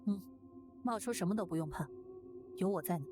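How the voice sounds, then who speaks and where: A woman voicing a calm, guarded, protective young heroine in a visual novel. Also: medium pitch, a little fast, close to an everyday voice. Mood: reassuring. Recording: music behind. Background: game music.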